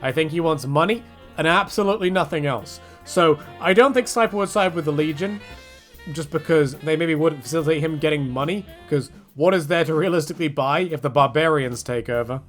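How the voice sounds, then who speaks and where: A man's voice: fairly high pitch, a little fast, soft background music. A man giving a casual, rambling, jokey rant about games, full of slang and acted-out quotes. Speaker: video creator, game commentator.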